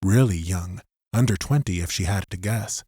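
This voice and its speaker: A man's voice: sits low, normal speed, dark tone, breathy edge, no echo, studio quality. A man reading scripted fiction as an audiobook narrator, in a dramatic storytelling style.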